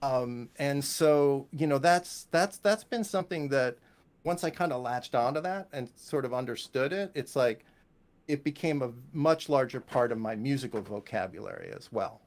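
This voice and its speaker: A man's voice: mid-range voice, expressive, normal speed. Enthusiastic, rambling man chatting about music. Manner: enthusiastic, rambling, punctuated with "you know" and "right?". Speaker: composer, interview guest. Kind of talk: casual interview conversation about music.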